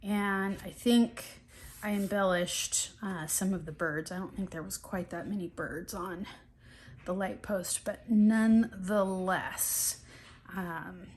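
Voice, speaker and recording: A woman's voice: mid-range voice, takes it slowly. A middle-aged woman sniffling and a bit scratchy-throated from allergies, chatting casually through an art journal flip-through with lots of ums and restarts. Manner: relaxed, rambling, many ums and restarts.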